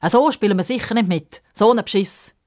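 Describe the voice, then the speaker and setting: A woman's voice: mid-range voice, a little fast, a little mellow, pitched above the performer's own voice, close to an everyday voice. A woman voicing a stout, self-important boy footballer in a children's story, whiny and bossy. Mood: indignant.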